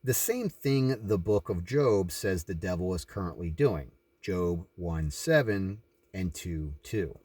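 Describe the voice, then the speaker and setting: A man's voice: deep voice, animated, takes it slowly, hardly any pauses, darkish tone, slightly rough. A man reading a scripted religious text aloud as narration. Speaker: reader of a religious book. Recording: roomy echo, distant from the mic.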